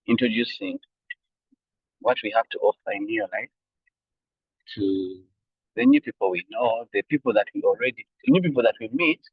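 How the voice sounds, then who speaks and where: A low, husky male voice opens a business call, hesitating and restarting his phrases. The sound is dry and thin, like a phone line. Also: no room sound. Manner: hesitant, many fillers and restarts. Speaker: call host.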